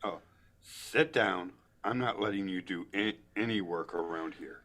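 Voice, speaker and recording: A man reading dialogue aloud in a book club, somewhat halting, with casual asides. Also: deep voice, a bit bright.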